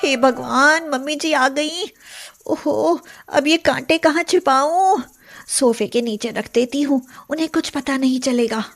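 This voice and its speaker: A woman's voice: high voice, a little slow. A woman playing a young, sly yet sweetly deferential daughter-in-law in an acted moral story. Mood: alarmed, muttering.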